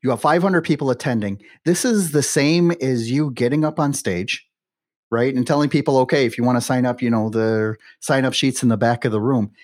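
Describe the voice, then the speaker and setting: A man's voice: medium pitch, a little fast, darkish tone, no room sound, clean studio sound. A man in his forties hosting an interview podcast, talking in a chatty, conversational way and leaning on "you know" and "right.". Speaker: podcast host.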